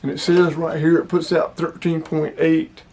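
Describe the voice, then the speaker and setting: A man speaks in a voice pitched a little higher than average. He sounds like a hobbyist vlogger walking through a product demonstration. His delivery is casual, plainspoken and explanatory. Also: little pitch movement, moderate pace, dark tone, slight background noise.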